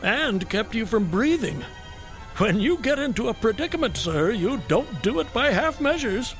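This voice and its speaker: An actor playing a courteous, curious and amused traveller in a radio drama, questioning in a genteel, wry, theatrical way. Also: male voice, very high voice, big pitch swings, normal speed, exaggerated voice. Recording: music under the voice. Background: background music.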